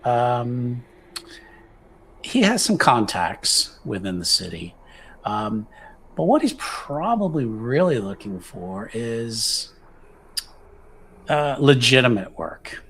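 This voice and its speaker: A man playing a tabletop roleplaying game, talking casually and haltingly, often repeating himself as he improvises. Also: medium pitch, big pitch swings, fairly bright tone, husky, rough recording. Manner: halting, repetitive, conversational. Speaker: tabletop RPG player. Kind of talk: casual improvised character planning.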